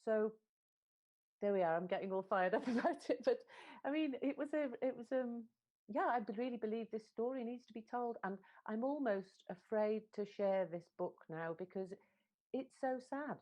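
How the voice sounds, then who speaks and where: A woman's voice: on the high side, normal speed, warm tone. A woman from Wales, speaking earnestly and with passion as a translator in a panel discussion, often reworking her phrases. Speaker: literary translator.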